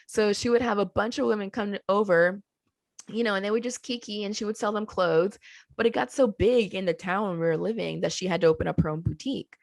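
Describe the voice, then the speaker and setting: A woman who grew up in Colombia telling her family story as a podcast guest, animated, laughing easily and slowing down for emphasis. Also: medium pitch, gravelly, no echo. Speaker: podcast guest, business co-founder. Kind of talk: casual storytelling interview. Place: at home with a child nearby.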